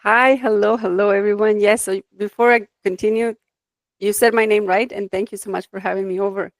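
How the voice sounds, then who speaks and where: A woman's voice: mid-range voice, steady tone, fairly quick, dry recording. Reflective woman from Mexico, dry sound. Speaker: guest, life design coach. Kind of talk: conversational interview, personal storytelling.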